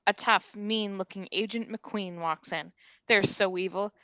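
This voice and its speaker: A woman's voice: mid-range voice, fairly even intonation, unhurried, pushed and bright, no room sound. A playful, amused woman narrating the stage directions of a comedy script in a casual table read.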